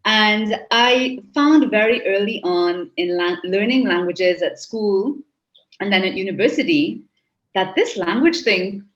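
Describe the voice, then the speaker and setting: A woman's voice: mid-range voice, expressive, measured pace. Trinidadian woman, thoughtful interview guest. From Trinidad and Tobago. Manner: reflective, with frequent restarts. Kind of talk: interview conversation. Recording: slightly muffled audio.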